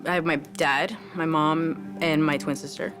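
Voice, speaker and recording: A woman's voice: deep voice, fairly even intonation, a little slow. A woman in her twenties telling her family's story steadily in a documentary interview. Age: 20s. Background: background music.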